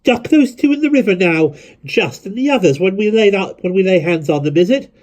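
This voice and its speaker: Male reader as shrill shopkeeper woman, fierce and loud. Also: expressive, brisk. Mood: vengeful.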